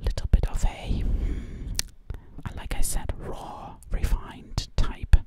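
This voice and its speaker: Slowly whispering, sinister witch acted by a woman. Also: natural voice. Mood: gloating, torn. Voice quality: breathy whisper. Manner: slow, repeating words, hypnotic. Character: sinister, obsessive female witch hunting for victims. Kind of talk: ASMR roleplay, close whispering. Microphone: close microphone.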